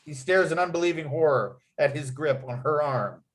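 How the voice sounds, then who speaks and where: A man reading screenplay scene headings and action aloud as narrator, with expressive storytelling delivery. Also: on the high side, moderate pace.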